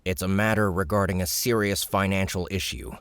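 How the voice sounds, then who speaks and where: A man's voice: low-pitched, fairly even intonation, brisk, clean tone, no echo. A man voicing a cautious young man posing as a soldier, speaking politely and carefully.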